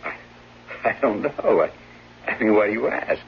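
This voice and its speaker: A man playing a smooth-talking husband with a short temper in a 1970s radio drama, soothing and evasive with his endearments. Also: fairly low pitch, expressive, normal speed, exaggerated voice. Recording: dull vintage radio broadcast, faint noise.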